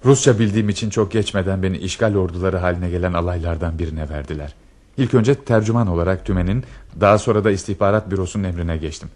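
A lovestruck army lieutenant in a radio drama. His voice is very low, and he speaks as an earnest dreamer, confiding his obsession with dramatic feeling. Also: quick pace, darkish tone. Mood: passionate, confiding. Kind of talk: Turkish radio theatre acting.

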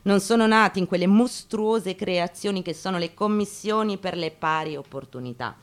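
A woman giving a deliberate, declamatory dramatic reading of a first-person memoir, in short phrases with pauses. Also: medium pitch, fairly bright tone. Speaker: actress giving a staged reading. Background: acoustic guitar playing.

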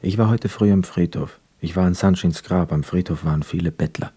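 An actor playing a poor, wistful young man who narrates a literary radio drama in a measured, reflective manner. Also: sits low, slightly rough. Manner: measured, reflective, hushed inner asides.

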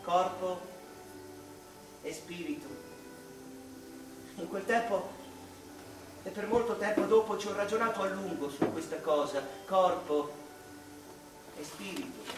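An anguished, reflective mathematician in a radio drama. The voice is high for a man and sits back in a roomy space with music behind it. He speaks slowly and theatrically, pausing between short phrases. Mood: pensive. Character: anguished, reflective English mathematician recalling his life. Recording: roomy, distant stage-like sound, music behind.

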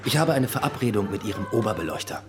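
An actor playing a dry, sceptical police detective in a radio drama, questioning people with sardonic politeness. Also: male voice, fairly low pitch, lively intonation, fast talker. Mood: dry, probing. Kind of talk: naturalistic radio drama acting.

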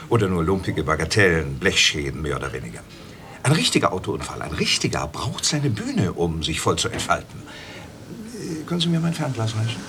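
A man's voice: on the low side, fairly bright tone. An actor playing an obsessive old eccentric who witnesses accidents, repeating his phrases theatrically in a German radio play. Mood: pompous, musing. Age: older. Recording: faintly noisy old cassette radio recording.

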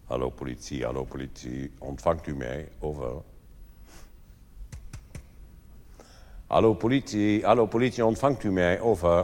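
A man's voice: low-pitched, a little fast, darkish tone, voice pushed down, lightly acted. A man playing an earnest informant calling the police over a two-way radio in a stage sketch, with formal, repetitive phrasing. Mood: hailing, official. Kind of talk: stage comedy sketch, radio call procedure. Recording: reverberant theatre hall, background noise.

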